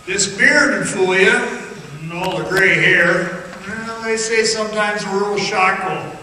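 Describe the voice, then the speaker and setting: Man acting a slow, high-voiced folksy mining-town man. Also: pushed and bright, full character voice. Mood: teasing, wry. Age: 30s playing older-looking. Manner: folksy, unhurried storytelling. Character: grizzled bearded Arizona copper-mining town man, talkative. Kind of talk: radio drama monologue. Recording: echoey hall from far off, faint noise.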